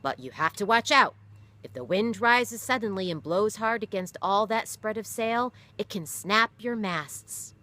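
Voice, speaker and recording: A woman's voice: mid-range voice, animated, unhurried, sharp tone, no room sound, pitched above the performer's own voice. A woman voicing a weary father, once a ship captain, who explains things firmly in a read-aloud story. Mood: earnest. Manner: explaining, firm.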